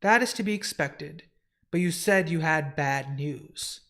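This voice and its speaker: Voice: medium pitch, a little slow, a bit bright. A comic reader voicing a solemn, brooding male ruler of dreams who speaks in stately, formal phrases. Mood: commanding.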